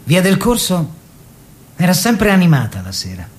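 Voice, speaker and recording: A genial aristocratic bohemian husband recounts his memories like a stage raconteur. His pitch swings in a lively way as he mimics conversations and savors drawn-out words, over faint noise and rumble. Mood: nostalgic. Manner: theatrical storytelling with mimicked dialogue and drawn-out words. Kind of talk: Italian stage-style radio drama, narrated recollection.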